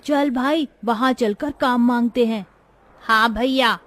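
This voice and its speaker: A woman's voice: on the high side, takes it slowly, a bit bright. A woman voicing a poor, earnest, tender young child who pleads in a moral-story cartoon. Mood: earnest pleading.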